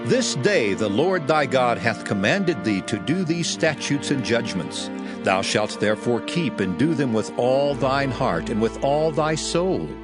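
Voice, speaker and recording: Solemn biblical prophet in dramatized scripture, commanding oratory, animated intonation over a musical bed. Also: male voice, mid-range voice, a little slow, music in the background. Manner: solemn, oratorical, archaic phrasing. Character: Moses, solemn commanding Hebrew prophet addressing his people.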